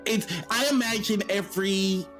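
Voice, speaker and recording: A man's voice: high voice, moderate pace, a bit bright. A man speaking as a panelist in a casual podcast discussion about tabletop games, over music.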